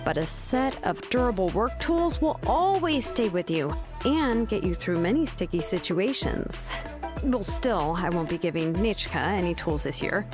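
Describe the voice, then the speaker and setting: A woman's voice: mid-range voice, lively intonation, moderate pace, lightly acted. An actress playing an easygoing adult craftswoman in a video game, talking in a laid-back, matter-of-fact way. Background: game music.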